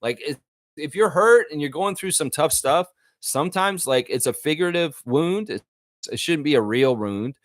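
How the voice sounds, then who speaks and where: A man's voice: on the high side, expressive, normal speed, dry recording, clean studio sound. A man hosting a live sports fan chat, talking informally and conversationally as he thinks aloud to his viewers.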